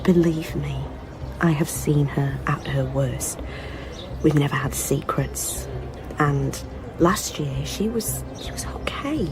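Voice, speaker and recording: Bereft, loyal woman friend for radio drama. Earnest, faltering, slightly low register, music underneath. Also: rough recording. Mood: earnest, grieving. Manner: halting, earnest, pleading. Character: anxious, grieving woman, missing woman's loyal best friend. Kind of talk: naturalistic radio drama acting.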